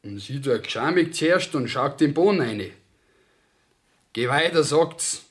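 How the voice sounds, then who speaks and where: A young peasant defendant in a Bavarian dialect radio play. His voice sits a little high for a man. He is stubborn and plain-spoken, rambling along in simple rustic storytelling. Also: expressive, measured pace. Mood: artless, earnest.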